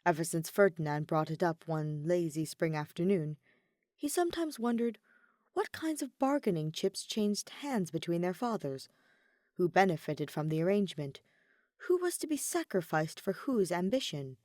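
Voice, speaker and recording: A woman's voice: on the low side, lively intonation, moderate pace, darkish tone, no echo, studio quality. A woman reading scripted fiction aloud as a story narrator.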